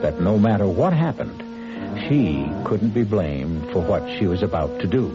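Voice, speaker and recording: A man's voice: deep voice, sing-song, normal speed. An actor narrating a radio mystery drama as a grave male storyteller. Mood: grave, suspenseful. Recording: dull radio recording, music underneath.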